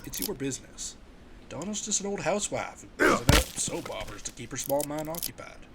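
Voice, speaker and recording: A man's voice: a little high, sing-song, fairly quick, gravelly. A man playing a friendly, reassuring survivor and family man in a comic dub, speaking in an earnest, conversational way. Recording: noisy ambient background.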